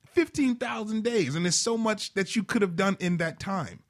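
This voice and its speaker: Higher-voiced man in his forties. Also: moderate pace. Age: 40s. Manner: reflective, leans on "right?" and "you know". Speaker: guest. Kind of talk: motivational talk.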